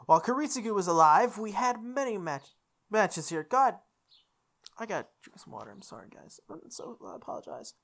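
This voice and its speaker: A woman playing through a visual novel, reading its text aloud with expressive, goofy casual commentary, sometimes correcting her stumbles. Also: medium pitch, fairly quick, gravelly. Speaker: host of a visual novel playthrough.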